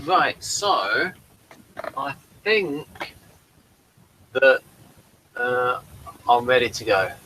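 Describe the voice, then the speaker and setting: A man hosting a TV review show in casual, unscripted chat, with a rambling, halting delivery. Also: mid-range voice, very animated, pushed and bright, a little hiss or hum, low-quality recording.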